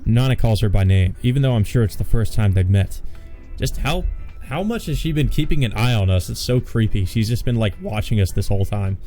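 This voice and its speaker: Deep-ish mellow guy reading a visual novel out loud, blunt sarcastic comments, soft music behind. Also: lively intonation, fairly quick. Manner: blunt, sardonic in asides. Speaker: let's play host and reader. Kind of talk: visual novel narration with casual asides.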